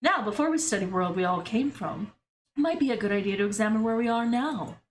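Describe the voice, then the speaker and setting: A woman's voice: fairly high pitch, lively intonation, fairly quick, a little hiss or hum, close to an everyday voice. A woman voicing a proud, formal female history teacher at a school for magical girls, lecturing her class. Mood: earnest lecturing. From Brazil, as the figure.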